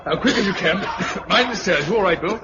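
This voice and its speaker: A man's voice: sits high, animated, fairly quick. An actor playing a man urgently marshalling an evacuation in a 1950s radio drama. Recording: muffled, very noisy 1950s radio, crowd behind.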